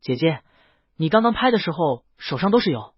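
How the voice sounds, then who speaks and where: A man voicing a gentle, earnest young male dragon in an audiobook, polite and eager to reassure. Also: fairly high pitch, sing-song, fairly quick, breathy edge, no echo, lo-fi sound. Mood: hesitant. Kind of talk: audiobook character dialogue.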